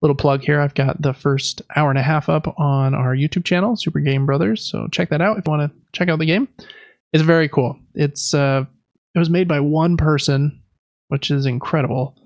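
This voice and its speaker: A man's voice: a little high, steady tone, normal speed, no echo, lo-fi sound. A man co-hosting a podcast, chatting casually about video games in a rambling, enthusiastic, informal way. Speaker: podcast co-host.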